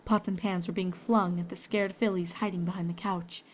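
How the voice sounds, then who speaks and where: A woman's voice: lowish voice, steady tone, normal speed. A woman storyteller reading scripted fiction aloud, with ambient noise behind her.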